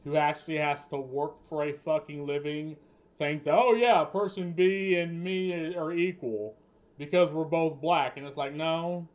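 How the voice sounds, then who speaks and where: Male streamer, unhurried and rambling, profane off-the-cuff commentary. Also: fairly high pitch, steady tone. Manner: rambling, swears often, restarts mid-sentence. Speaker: streamer reacting to news clips. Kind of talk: casual political commentary.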